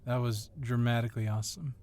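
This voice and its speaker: A man's voice: medium pitch, flat delivery, fast, a little mellow, lightly acted. A man playing an agile, confident police officer with a casual, flirtatiously admiring manner in an ASMR roleplay. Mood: admiring, flirty. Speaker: voice actor in audio roleplay.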